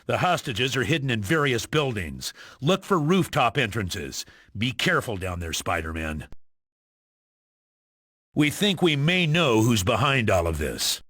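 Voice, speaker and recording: An actor playing a serious agency chief in a video game, briefing heroes with formal authority. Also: male voice, mid-range voice, very animated, a little slow, fairly bright tone, no room sound, studio quality. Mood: grave briefing. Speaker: mission commander.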